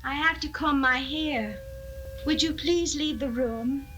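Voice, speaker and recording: A woman's voice: sits high, slow pace, pushed and bright, a little hiss or hum, full character voice. An actress playing a brittle, defensive woman accused of a crime, in a 1970s radio drama. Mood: cold, defensive. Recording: 1970s radio recording, music behind.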